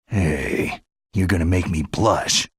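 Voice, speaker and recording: A man voicing a gruff, sardonic veteran special forces soldier in a video game, with a gravelly, husky voice and a terse, skeptical manner. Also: low voice, takes it slowly, dry recording, studio quality, exaggerated voice. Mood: dryly sardonic.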